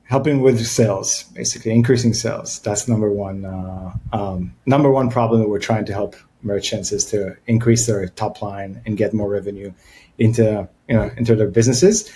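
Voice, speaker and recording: A man speaks as a podcast guest in a casual chat. He talks softly and hesitantly, filling gaps with ums and letting his sentences trail off quietly. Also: lowish voice, steady tone, moderate pace, a little hiss or hum. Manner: soft-spoken and hesitant, many fillers, trailing off quietly. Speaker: guest, company co-founder.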